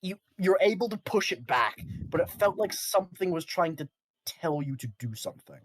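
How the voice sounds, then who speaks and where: A game master narrating an improvised tabletop game, sometimes halting to search for words. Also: mid-range voice, big pitch swings, a little hiss or hum.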